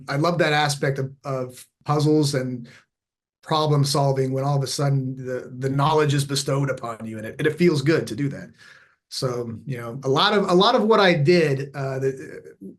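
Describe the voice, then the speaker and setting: A man in his forties from Texas, a podcast guest telling his story in a reflective, halting way, with many fillers and restarts. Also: medium pitch. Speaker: podcast guest, former special operations veteran.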